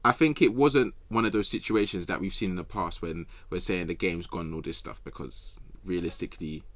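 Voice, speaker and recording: A man's voice: low-pitched, a little fast, fairly bright tone. A young man chatting informally and in slang about football as a podcast panellist. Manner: informal, slangy, repeats phrases. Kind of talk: casual football chat.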